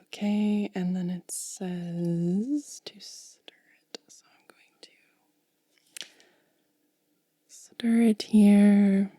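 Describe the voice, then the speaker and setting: A woman speaking in a soft, breathy whisper as an ASMR host, gentle and unhurried, close to the microphone. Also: medium pitch, fairly even intonation, no room sound. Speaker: ASMR video creator and host.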